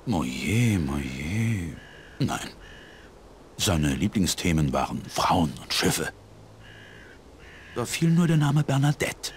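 A kindly old pastor in an adventure game. His voice is very low and swings expressively, with measured, formal phrasing. There is faint outdoor ambience with crows behind him. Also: very animated, a little hiss or hum, heavily acted. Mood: measured, thoughtful. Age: older. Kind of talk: scripted adventure game dialogue.

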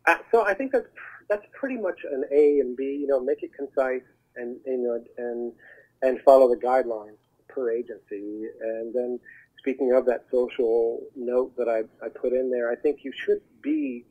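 A man speaking as a talent agent guest, giving advice in an earnest, halting, conversational way over the telephone. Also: medium pitch, animated, breathy edge. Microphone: telephone call. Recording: muffled narrow phone line.

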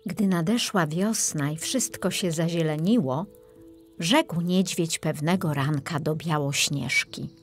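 A woman narrating a scripted children's fairy tale as an expressive audiobook storyteller. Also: low-pitched, unhurried, soft background music.

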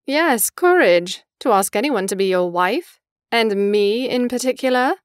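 An imperious young lady lover in an audio drama. Her voice sits fairly high, poised and articulate, with a teasing, mocking edge. The sound is close and dry. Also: expressive, unhurried, clear, resonant tone, no echo. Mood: amused, teasing.

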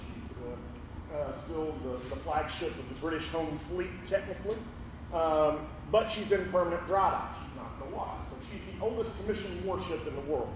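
A middle-aged man, a high school history teacher, giving a folksy, rambling public lecture in a big, carrying voice. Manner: folksy, rambling, lots of ums and restarts. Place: large echoey auditorium with a screen. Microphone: distant room mic, speaker away from it. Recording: echoey hall from far off, room noise.

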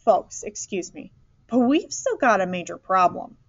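A woman's voice: mid-range voice, sing-song, normal speed, dry recording, dull audio. Woman reading as a flustered lady hospital doctor.

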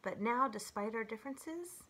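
Expressive woman reading aloud to children. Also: a little high, normal speed. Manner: gentle, expressive, talking as if to young children. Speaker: children's library storyteller. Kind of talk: read-aloud of children's poems with casual commentary. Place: small furnished room at home, indoors.

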